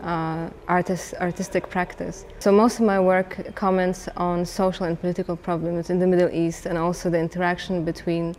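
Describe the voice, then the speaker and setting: Palestinian-born woman artist, low even delivery, hesitant interview talk, slight room noise. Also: moderate pace, few pauses. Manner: hesitant, many fillers and restarts. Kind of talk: documentary interview.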